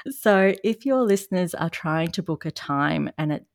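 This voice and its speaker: A woman from Australia with an Australian accent, a guest coach chatting calmly and soothingly on a podcast over a remote call. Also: fairly low pitch, moderate pace, gravelly, no room sound, studio quality. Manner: calm, soothing and laughs often. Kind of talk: casual podcast chat.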